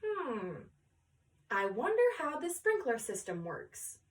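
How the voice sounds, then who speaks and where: A woman's voice: a little high, huge pitch swings, slow, distant mic, full character voice. A woman reading a storybook, voicing a curious, distracted little schoolgirl who muses to herself. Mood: curious, musing. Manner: musing, muttering to herself.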